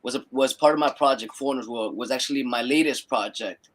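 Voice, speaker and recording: A young man from Peru, raised in the United States, talking as a musician guest in a relaxed way, often repeating words and checking in with "right?". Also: mid-range voice, brisk. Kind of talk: casual interview chat.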